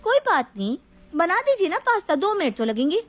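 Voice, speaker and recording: A woman's voice: extremely high pitch, big pitch swings, fairly quick. A woman voicing a headstrong, demanding young married woman in a dramatized story, with a whiny, insistent delivery. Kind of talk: dramatized moral story voice acting.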